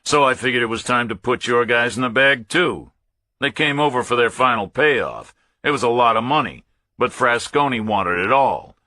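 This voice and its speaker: A man's voice: medium pitch, animated, moderate pace, sharp tone, no room sound, voice pushed up. A man voicing a cornered Pentagon officer in a thriller audiobook, arrogant and impatient, insisting on his story in a glib, defensive way. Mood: urgent, insistent.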